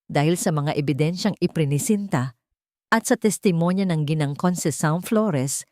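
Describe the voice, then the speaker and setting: A woman reading a scripted first-person dramatic story in a measured, expressive way. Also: low-pitched, dry recording, clean studio sound. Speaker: audio story narrator.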